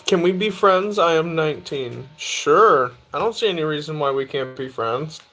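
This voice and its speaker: A young man in his twenties talks casually on his live stream, reading chat aloud and answering each question in turn. His voice sits a little high for a man, and his manner is relaxed and easygoing. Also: moderate pace. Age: 20s. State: tired.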